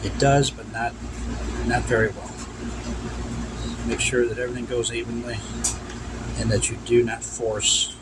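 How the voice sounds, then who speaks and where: A man's voice: mid-range voice, little pitch movement, unhurried, long pauses. A man giving an unscripted, instructional hands-on demonstration of vintage radio work, repeating his cautions as he goes. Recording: loud hum and background noise.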